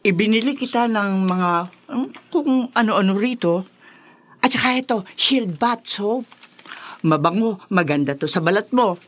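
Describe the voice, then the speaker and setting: A woman's voice: mid-range voice, lively intonation, a little slow, slight background noise, lightly acted. An actress playing a proud, talkative, bossy older mother and mother-in-law in a radio drama. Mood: bossy, chatty. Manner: chatty, bossy, exclamatory. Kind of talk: Tagalog radio drama acting.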